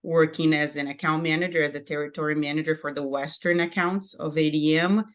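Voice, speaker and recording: This is a woman from Brazil with a Brazilian accent, speaking English in a low, steady voice. She is a guest in an interview, and she pauses often and adds fillers as she answers. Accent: Brazilian Portuguese. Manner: hesitant, many fillers. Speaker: guest, animal nutrition director.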